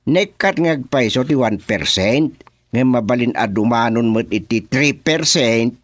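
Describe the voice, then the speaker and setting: A man's voice: medium pitch, animated, a little slow, no echo. A man with an Ilocano accent playing a bossy village chairman in a radio drama, speaking with authority. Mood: firm. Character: bossy middle-aged male village chairman.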